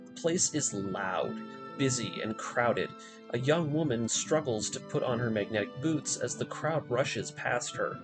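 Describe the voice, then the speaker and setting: A man's voice: mid-range voice, expressive, unhurried. Man narrating dramatically over prominent music. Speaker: narrator of a video essay. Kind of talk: scripted story recap narration. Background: background music.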